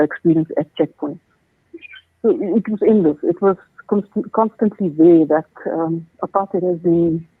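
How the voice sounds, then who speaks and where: A woman in her fifties speaks as an interview guest, stopping and restarting her sentences. Her voice is husky and held to a narrow, flat pitch range. It comes over a dry, tinny, phone-like line. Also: dry recording. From South Africa. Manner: halting, many restarts and repeated words. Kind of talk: personal testimony in an interview.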